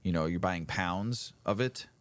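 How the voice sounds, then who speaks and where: A man co-hosting a casual podcast, rambling and halting with a froggy, slightly hoarse voice. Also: sits low, no room sound. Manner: rambling, halting, laughs often. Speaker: podcast co-host.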